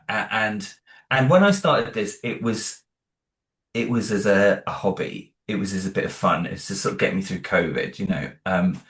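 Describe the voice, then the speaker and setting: A man's voice: lowish voice, fairly bright tone. A British man chatting casually as an interview guest, earnest and a little hesitant as he thinks aloud. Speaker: interview guest, independent audio drama creator. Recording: roomy, echoing room sound.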